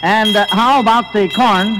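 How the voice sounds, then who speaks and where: A man's voice: high voice, fairly even intonation, normal speed, over music. An American man hosting an old-time radio quiz show in an encouraging, affirming manner. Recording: reverberant hall, vintage radio broadcast.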